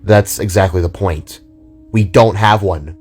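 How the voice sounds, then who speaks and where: A man voicing an earnest, worried little hero in an audio drama, speaking with deliberate urgency. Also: low voice, darkish tone, husky, faint music behind. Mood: determined.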